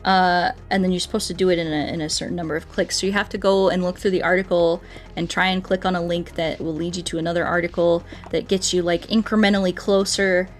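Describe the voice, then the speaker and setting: A woman's voice: fairly low pitch, level tone, fast talker. A woman chats casually as she streams a game, with music playing behind her. Her manner is goofy and playful, and she rambles along in an easygoing conversational style. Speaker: game livestreamer. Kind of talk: casual livestream chat while playing.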